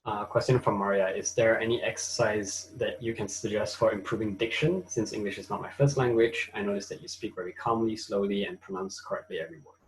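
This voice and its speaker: A man from Malaysia with a Malaysian accent, co-hosting an online webinar in a relaxed manner with frequent fillers, speaking over a video call. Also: on the low side, a little fast, hardly any pauses, poor audio. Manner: relaxed, thinks aloud with fillers. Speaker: webinar co-host. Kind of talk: casual online webinar talk.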